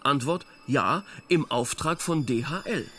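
A man's voice: on the high side, animated, a little slow, fairly bright tone, faint music behind. An actor in a radio play playing a wry intellectual man, eloquent, ironic and rather pompous. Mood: wry, lecturing. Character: wry male intellectual theorising about modern absurdity. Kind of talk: conversational radio play dialogue.

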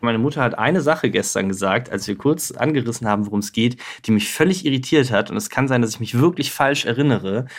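A man's voice: medium pitch, quick pace, hardly any pauses. A man of around 30 from Freising near Munich, talking casually and hesitantly as he recalls his own story. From Freising near Munich, Germany. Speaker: podcast guest telling his own story. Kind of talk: casual conversation.

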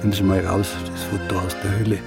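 An actor playing an older, weakened but insistent hospital patient in a radio drama. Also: male voice, low voice, level tone, a little fast, creaky, loud music behind the voice. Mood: insistent, confiding.

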